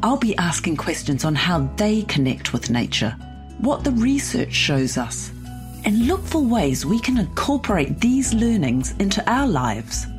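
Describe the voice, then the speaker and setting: A woman hosting a podcast, hoarse from losing her voice, explaining things in a lively, chatty way. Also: medium pitch, unhurried, faint music behind. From New Zealand. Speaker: podcast host. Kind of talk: solo podcast chat.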